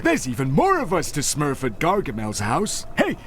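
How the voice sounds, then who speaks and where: An actor voicing a cheerful, naive Smurf villager in a video game, casual and inquisitive. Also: male voice, fairly high pitch, huge pitch swings, moderate pace, fairly bright tone, a little hiss or hum. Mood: cheery, curious. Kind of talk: video game cutscene acting.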